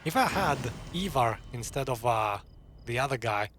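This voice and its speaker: A man's voice: mid-range voice, animated, moderate pace, fairly bright tone, a little hiss or hum. A man giving informal, joking commentary as a gaming streamer during a playthrough.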